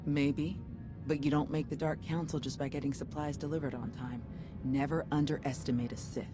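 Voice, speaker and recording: An actress playing a wary, tactical-minded warrior in a video game, speaking in a matter-of-fact, guarded way. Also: female voice, low-pitched, steady tone, moderate pace, close to an everyday voice. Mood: wary, practical. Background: music.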